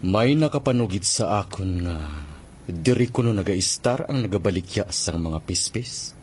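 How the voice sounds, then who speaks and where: Radio drama: man as a deliberate, enigmatic stranger, deep voice, Western Visayan accent. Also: animated, darkish tone, a little hiss or hum, natural voice. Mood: polite, insistent. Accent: Filipino, Western Visayan. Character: mysterious, deliberate male stranger seeking to buy birds. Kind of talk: Philippine radio drama acting.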